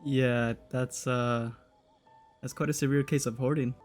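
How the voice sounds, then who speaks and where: A man's voice: mid-range voice, fairly even intonation, measured pace, soft background music. A young man giving casual, slangy, jokey commentary as he plays a game, swearing freely. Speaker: game streamer.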